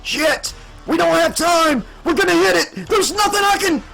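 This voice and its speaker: A man voicing a gruff, grizzled, foul-mouthed starship pilot who shouts urgently in an audiobook story. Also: very high voice, lively intonation, normal speed, soft background music, pitched above the performer's own voice, heavily acted. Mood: panicked.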